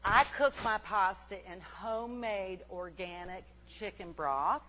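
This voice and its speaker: An actress playing a folksy, chatty cooking-show host who rambles homespun and cheerful. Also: female voice, mid-range voice, measured pace, a bit bright, natural voice. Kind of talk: comic cooking segment.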